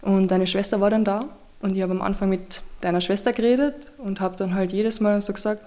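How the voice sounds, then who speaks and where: A woman's voice: medium pitch, fairly even intonation, a little fast, dark tone. Host: woman, 20s, talkative, easy laughter, casual interview tone. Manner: chatty, laughs often. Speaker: podcast host.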